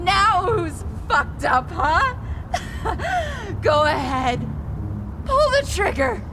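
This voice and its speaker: A young woman killer, bitter and unhinged, her voice high and lurching between screams and sobs. She drags her words out slowly through tears and broken laughter. A clear hiss of noise sits behind her. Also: big pitch swings, full character voice. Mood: raging, tearful. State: crying. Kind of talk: audio drama acting.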